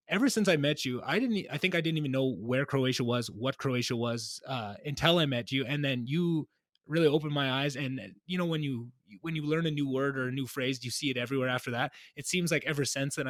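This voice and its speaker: Young male host, quick and chatty, rambling questions, dry close sound. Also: mid-range voice, a bit bright, dry recording. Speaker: podcast host. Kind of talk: casual interview conversation.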